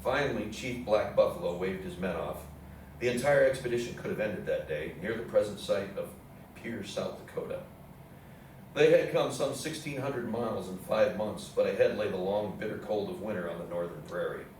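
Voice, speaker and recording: Male narrator for historical readers theatre, lowish voice, heavily reverberant room, distant pickup. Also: lively intonation, normal speed, a little mellow. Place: indoor theatre room with audience program. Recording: echoey hall, distant mic, faint noise.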